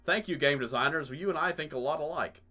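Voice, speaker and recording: A man's voice: a little high, fast talker, sharp tone. A middle-aged man giving casual, rambling let's play commentary in a conversational way. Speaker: gaming host. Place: small cluttered indoor room.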